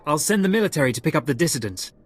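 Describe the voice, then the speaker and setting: Higher-voiced, dutiful technician. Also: male voice, sing-song, racing, husky. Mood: alert, tense. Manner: clipped, reporting. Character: dutiful, anxious young male operations technician. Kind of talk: video game voice acting.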